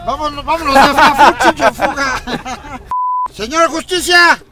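A man with a Mexican accent and a strikingly high voice, teasing and swearing through a joking chat. He laughs often and needles his guest. He is recorded by a camera mounted in an open car on city streets, with clear music running behind. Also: unhurried. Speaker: host driving a guest. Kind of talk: crude joking chat while driving.

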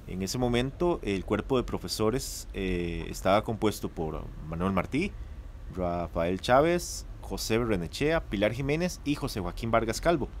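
A man's voice: lowish voice, a little hiss or hum, natural voice. A man with a Costa Rican accent playing a studious young student giving a class presentation in a radio play.

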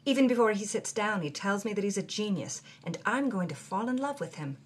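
A woman hosting a story podcast, reading journal entries in a wry, expressive way. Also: medium pitch, a little fast, husky. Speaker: host and narrator.

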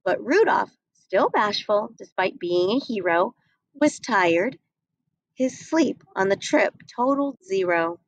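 A young adult woman reading a rhyming picture book aloud at a desk webcam, slow and expressive. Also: medium pitch, dry recording, rough recording. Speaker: storybook reader. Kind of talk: read-aloud storytelling. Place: small indoor room.

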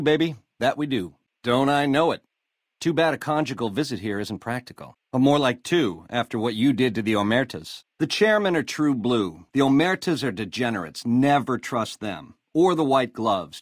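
An actor playing a slick, cocky American casino gangster boss in a video game, swaggering through retro lounge slang. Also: male voice, on the high side, no echo, clean studio sound. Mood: conspiratorial. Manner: swaggering retro lounge-lizard slang, calls people baby. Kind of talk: video game character dialogue.

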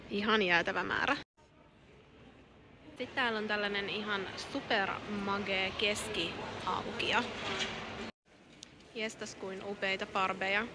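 Bubbly woman vlogging on her phone. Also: medium pitch. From Finland. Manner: bubbly, enthusiastic, talks to camera and her kids. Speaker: family vlogger. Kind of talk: casual travel vlog narration. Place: car, shops, hotel and outdoors. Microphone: phone at arm's length. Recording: phone audio with steady background noise.

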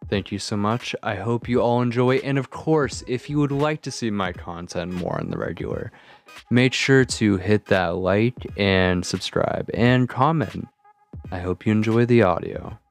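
A man's voice: on the low side, expressive, measured pace, faint music behind. A man speaking as host, giving an announcement to the subscribers of his channel. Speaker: host, audio roleplay creator.

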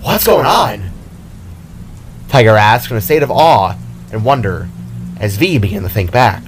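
A man's voice: lowish voice, expressive, moderate pace, slight background noise. A man reading his fantasy story aloud as a dramatic scripted narration. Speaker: author narrating own story.

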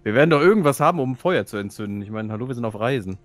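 A man's voice: mid-range voice, animated, racing, over music. A man chatting casually as a player in a roleplaying game, blunt and flippant in the way he talks.

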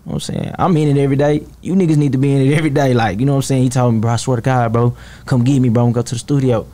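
Laid-back young man rapper, mellow tone. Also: mid-range voice, fairly even intonation, rapid-fire. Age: 20s. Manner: laid-back, heavy on "you know what I'm saying". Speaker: rapper guest. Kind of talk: casual interview talk. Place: podcast studio. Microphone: studio mic, headphones.

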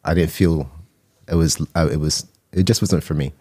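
Deep-voiced middle-aged man in interview. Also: fairly even intonation, normal speed, dark tone, husky, dry recording, clean studio sound. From Barbados. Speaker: guest, mental health counselor and artist. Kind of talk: conversational interview storytelling. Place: small indoor podcast studio. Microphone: close studio microphone on boom arm.

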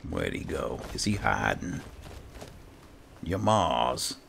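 A man with a deep, gravelly voice giving exasperated, muttering roleplay commentary as he hosts a video game. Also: sing-song, normal speed, a bit bright, a little hiss or hum. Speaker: gaming host. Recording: game music behind the voice. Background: video game sound and music.

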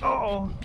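A man's voice: high-pitched, vocal fry. A man talking casually to the camera while fishing from a kayak on a marsh, exclaiming and swearing as the fish bite. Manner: excitable, exclaims at each catch, swears. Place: kayak on a marsh waterway outdoors. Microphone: action camera mounted on the kayak. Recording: muffled action-camera audio with loud wind and water noise. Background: water and wind outdoors.

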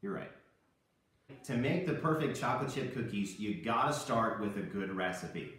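A man in his thirties presenting a cooking lesson for children, playing the animated straight man. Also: fairly low pitch, moderate pace. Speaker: host of a children's cooking show. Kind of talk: scripted instructional presenting with puppet banter. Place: home kitchen. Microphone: camera a few meters away. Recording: very echoey room, distant microphone.

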